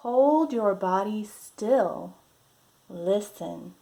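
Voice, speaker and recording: A woman reading a picture book aloud to young children, with deliberate, gently instructive delivery and guided breathing prompts. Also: medium pitch, animated, gravelly. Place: at home.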